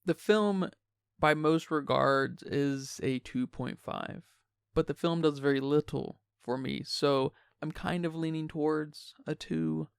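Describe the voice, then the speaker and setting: Guy hosting a film podcast, slightly high voice, creaky at the edges, chatty. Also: slow pace, no echo, studio quality. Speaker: podcast host. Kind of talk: casual film discussion.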